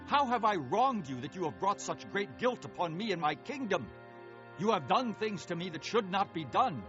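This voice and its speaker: Indignant high-voiced ancient king. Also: big pitch swings, moderate pace, fairly bright tone, dull audio, soft background music. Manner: agitated, protesting. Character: indignant wronged ancient king pleading his innocence. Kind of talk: audio Bible dramatization.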